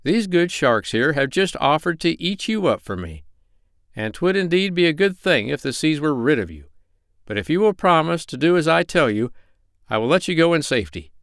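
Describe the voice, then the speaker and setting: A man's voice: fairly high pitch, very animated, normal speed, a bit bright, no room sound, studio quality, pitched above the performer's own voice. A man voicing a kindly but stern animal doctor in a children's story, lecturing in a firm, formal way.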